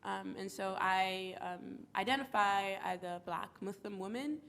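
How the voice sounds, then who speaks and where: A woman's voice: medium pitch, a little slow, vocal fry, fairly bright tone. A woman from the Bronx, stuffy from a cold, speaking earnestly and reflectively as a panelist. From United States (the Bronx, New York). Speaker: panelist, community organizer. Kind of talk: panel talk.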